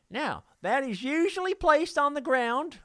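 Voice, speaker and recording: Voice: sits high, fairly bright tone, no echo. A podcast host reading listener mail aloud in a jokey, sweary way, often stumbling over the text.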